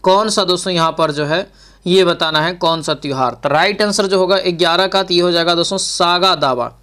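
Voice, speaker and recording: A man's voice: high-pitched, fairly quick, sharp tone. A man teaches an exam-prep lesson. He reads out quiz questions, then explains the answers, repeating key points and speaking straight to his students. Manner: repeats questions and answers, addresses learners directly.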